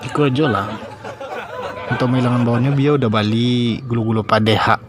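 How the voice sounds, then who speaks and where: A man's voice: medium pitch, little pitch movement, brisk, background noise, natural voice. A man with a Minangkabau accent voicing a doting, sweet-talking husband in a comedy cartoon. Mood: teasing. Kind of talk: comic cartoon dialogue.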